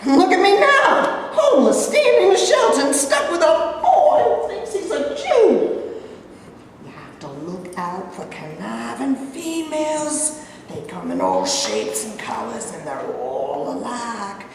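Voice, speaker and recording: A woman's voice: fairly high pitch, big pitch swings, unhurried. An actress playing a suspicious, domineering, street-wise homeless mother in a staged reading, with a folksy, scolding, sarcastic drawl. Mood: scornful, scolding. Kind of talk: theatrical staged play reading. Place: live staged reading in a hall. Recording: echoey hall, far mic, noisy.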